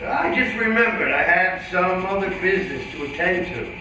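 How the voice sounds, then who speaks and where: A man's voice: high voice, animated, moderate pace. Man acting a boxing manager, echoey and noisy. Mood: cool, evasive. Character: boxer's manager, fatherly but evasive. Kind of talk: staged play reading. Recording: very echoey room, distant mic, clear noise.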